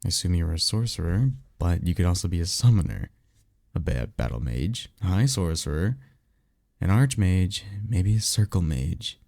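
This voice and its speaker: A blunt, sarcastic male vampire mentor played by a man for an audio roleplay. The voice sits low with a crackly fry and moves around expressively. The delivery is casual and exasperated, with conversational hesitations. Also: animated, normal speed, darkish tone, dry recording, clean studio sound, heavily acted. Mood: explanatory, skeptical. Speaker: voice actor. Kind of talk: ASMR roleplay audio drama, one-sided conversation.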